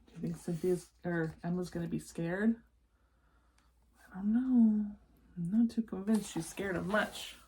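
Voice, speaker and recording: A woman's voice: on the low side, fairly even intonation, unhurried, long pauses, dark tone, a little hiss or hum. A woman reading a children's story aloud expressively, adding chatty asides for young listeners.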